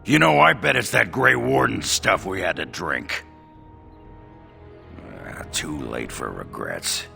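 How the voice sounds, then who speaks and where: An actor playing a gruff, gravelly male warrior who talks in a halting, sheepish grumble in a video game. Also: sits low, animated, pushed and bright, soft background music, full character voice. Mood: awkward, grumbling. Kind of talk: video game dialogue acting.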